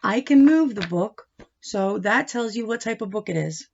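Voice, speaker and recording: A woman giving a read-aloud lesson for young children as a teacher, speaking in short deliberate phrases and asking questions as she goes. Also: medium pitch, gravelly. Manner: instructive, asking questions and answering them; deliberate short phrases, expressive when reading. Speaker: teacher reading a picture book aloud. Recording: dull, lo-fi sound.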